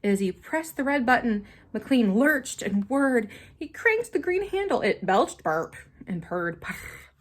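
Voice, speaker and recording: A woman reading a children's story aloud in an expressive, dramatic manner, with simple asides for young listeners. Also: fairly high pitch, takes it slowly. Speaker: storybook reader.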